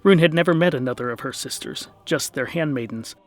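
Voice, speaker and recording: A man's voice: a little high, fairly quick, soft background music. A man narrating a scripted comic fantasy story in a dramatic storytelling manner with dry wit.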